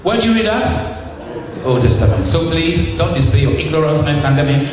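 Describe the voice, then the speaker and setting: A man's voice: fairly high pitch, big pitch swings, a little fast, noisy background. A middle-aged man from Ghana with a Ghanaian accent, preaching a sermon in a church and firing rhetorical questions at the congregation. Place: church hall. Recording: echoey hall, mic far off.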